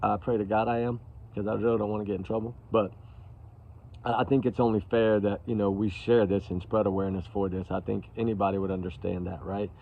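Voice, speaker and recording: A man's voice: fairly low pitch, level tone, fairly quick, creaky, a little hiss or hum. A man chatting casually to his viewers as a host of live commentary, calling them y'all. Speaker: online true-crime commentator and host. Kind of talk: casual live commentary, reacting to played clips. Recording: dull lo-fi livestream audio.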